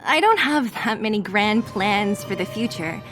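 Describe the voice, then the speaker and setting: A woman's voice: mid-range voice, animated, unhurried, fairly bright tone, soft background music. A woman voicing a blunt, scrappy, loyal young woman in a video game, casual and teasing in her talk. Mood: hesitant, concerned. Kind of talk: dramatic video game voice acting.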